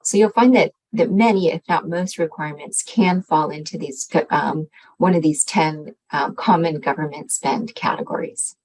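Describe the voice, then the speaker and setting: A woman's voice: deep voice, moderate pace, darkish tone, rough recording. A woman giving an explanatory slide presentation as a government agency presenter, speaking hesitantly with frequent ums.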